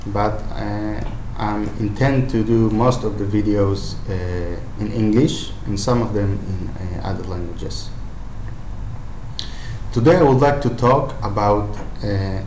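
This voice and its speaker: A man with an Israeli accent speaks English slowly and haltingly, filling his pauses with "uh" and circling back to restart his sentences. His voice sits a little low. It was recorded in an echoey room with a faint hiss of noise behind it. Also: a little mellow. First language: Hebrew. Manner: hesitant, many "uh" fillers and restarts. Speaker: language-learning video maker. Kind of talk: unscripted advice talk to camera.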